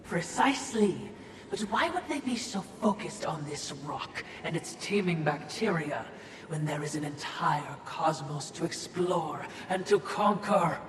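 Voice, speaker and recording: Sly needling spirit sidekick for a game, wordy, mock polite, distant n echoey, noisy. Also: female voice, deep voice, lively intonation, measured pace. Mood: sly, probing. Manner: insinuating, wordy, mock-deferential. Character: sly, needling, philosophizing supernatural watcher companion. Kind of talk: dramatic video game voice acting.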